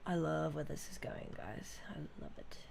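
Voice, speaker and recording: A woman streaming as she draws, talking to her chat in a soft, relaxing, murmuring way, often half-whispering at a desk microphone. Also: low voice, sing-song, moderate pace, creaky, slight background noise. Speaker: streamer drawing fan art. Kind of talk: casual live-stream chat while drawing. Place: at a desk at home.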